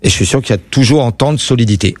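A man's voice: medium pitch, racing, darkish tone. Emphatic man co-hosting radio. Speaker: radio co-host. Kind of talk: radio call-in chat.

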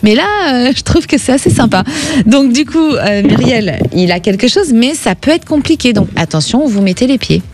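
A middle-aged woman hosting a call-in radio psychic show, advising a caller gently and often adding a little "hein". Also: fairly high pitch, lively intonation, normal speed. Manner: reassuring, gently advising, frequent tag "hein".

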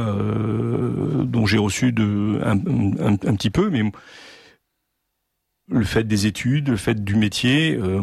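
A middle-aged man from Normandy speaking as a guest in a discussion, halting with many pauses and restarts. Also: on the low side, gravelly, a little mellow, slightly rough, no room sound, studio quality. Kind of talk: hesitant conversational discussion.

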